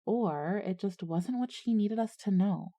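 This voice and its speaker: A woman's voice: mid-range voice, a little slow, mellow. A woman playing an earnest teenage schoolgirl who narrates her own ghost story in an audio drama. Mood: sincere, hopeful. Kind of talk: scripted first-person narration and audio drama dialogue.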